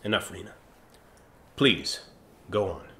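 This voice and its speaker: A man's voice: sits low, slow pace, sharp tone, heavily acted. A man playing a pompous, self-centred, sarcastic starship captain in a comedic sci-fi radio play, speaking in a haughty, theatrical way. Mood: feigned interest.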